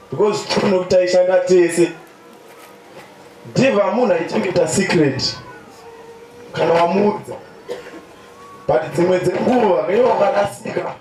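A young man with a Zimbabwean accent giving a eulogy to a large gathering over a PA. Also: high voice. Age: young adult. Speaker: friend giving a funeral tribute. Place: open-sided stadium stand outdoors. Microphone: handheld microphone over PA. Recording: echoey PA in open stand, music behind.

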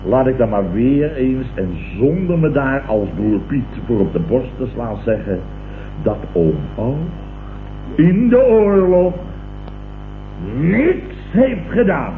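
A man's voice: mid-range voice, animated, slow. A vain, blustering businessman uncle in a vintage radio comedy. His delivery swings widely and circles back on itself pompously. The sound is phone-thin, echoey and noisy. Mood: defensive, jovial. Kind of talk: 1970s Dutch radio comedy acting. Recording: old tinny, noisy, echoey radio recording.

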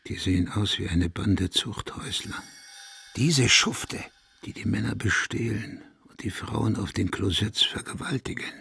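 A man's voice: on the low side, a little slow, no room sound, full character voice. An actor playing a paranoid, vengeful madman released from an asylum, volatile between whispering and cursing in a radio play. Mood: hateful, menacing. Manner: volatile, swinging from breathy whispers to loud cursing.

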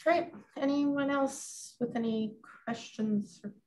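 A woman with a Canadian accent, chairing a council meeting as mayor, reading bylaw motions in a brisk, procedural way over a video call. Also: high voice, mellow. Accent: Canadian English.

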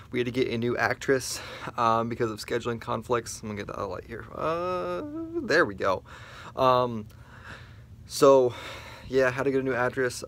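A man's voice: mid-range voice, fairly bright tone. A young man talking casually to a handheld camera at arm's length, rambling with many fillers and restarts. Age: young adult. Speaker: vlogger. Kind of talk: casual vlog chat. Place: small dim room indoors.

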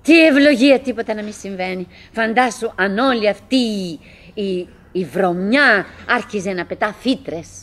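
An actress playing a chatty middle-aged wife in a theatrical radio drama monologue, halting and musing, by turns coaxing and murmuring, wistful, fretful and forcedly cheerful. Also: female voice, mid-range voice, lightly acted. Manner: halting, musing, self-interrupting, swinging between coaxing and murmuring.

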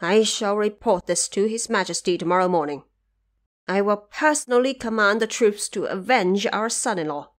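A woman's voice: mid-range voice, moderate pace, natural voice. A dignified older statesman voiced by a woman speaks formally and solemnly. He is a resolute prime minister in an audio drama.

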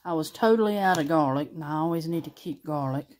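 A woman's voice: deep voice, fast talker, a little mellow. A woman showing her groceries at her kitchen table, chatty and homey, filmed close on a phone. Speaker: grocery haul vlogger. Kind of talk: casual show-and-tell narration. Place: kitchen table at home, indoors.

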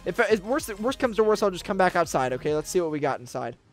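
Young american guy doing game roleplay commentary, voice jumps all over, chatty n excitable, bit of music behind. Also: high voice, fast. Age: young adult. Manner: excitable, chatty, addressing viewers directly. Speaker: gaming video host. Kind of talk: casual roleplay gameplay commentary. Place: indoor room gaming setup with webcam.

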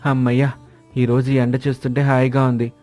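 A man's voice: medium pitch, monotone, a little fast, a little mellow, faint music behind. A man reading scripted dialogue for village characters in a moral story. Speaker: story voice actor.

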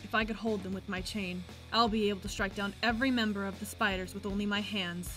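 A woman playing a cold, vengeful young avenger in a dramatic manga dub, speaking with deliberate, menacing intensity. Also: mid-range voice, steady tone, a bit bright, soft background music, natural voice. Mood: cold menace.

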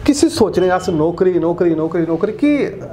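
A man's voice: high voice, moderate pace. A middle-aged man from Jammu with a Dogri accent, a cartoonist guest chatting casually in an interview and gesturing as he talks. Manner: animated, gestures a lot while talking. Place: indoor studio set.

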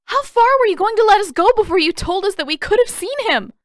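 Woman acting a furious, high-pitched teenage girl. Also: fast talker, dry recording, clean studio sound. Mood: enraged. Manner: yelling, incensed, clear and steady. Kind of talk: audiobook character acting.

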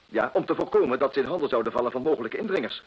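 An actor playing a proud, cornered gentleman in a radio drama, formal and self-justifying as he defends his desperate deed. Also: mid-range voice, expressive, rapid-fire, breathy edge. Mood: grave, confessing. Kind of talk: Dutch radio drama acting. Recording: muffled vintage radio play recording.

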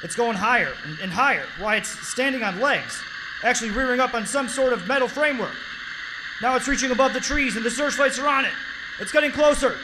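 A man's voice: extremely high pitch, animated, a little fast, noisy background. A man playing a confident army captain who grows alarmed while reporting from a battlefield in a 1930s-style radio drama. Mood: tense, alarmed. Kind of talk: 1930s radio drama reenactment, live performance.

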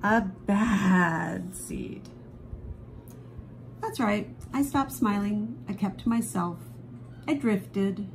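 Slow-paced woman reading a storybook aloud. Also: mid-range voice, vocal fry, a little hiss or hum. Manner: dramatic, drawn-out emphasis on key phrases. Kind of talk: expressive children's read-aloud. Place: indoors at a table, book held before camera.

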